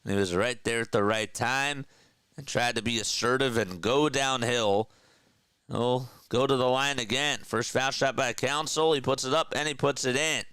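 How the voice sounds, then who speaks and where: A man with a stuffy nose calling live basketball play-by-play in an energetic, chatty way, talking to his viewers between plays. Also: mid-range voice, normal speed, smooth, no echo. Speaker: play-by-play caller.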